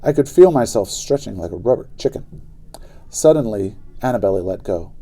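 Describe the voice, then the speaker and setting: Animated man reading a children's book, very mellow. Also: on the low side, a little fast, faint music behind. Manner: animated, dramatic delivery. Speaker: read-aloud storyteller. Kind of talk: expressive children's book narration.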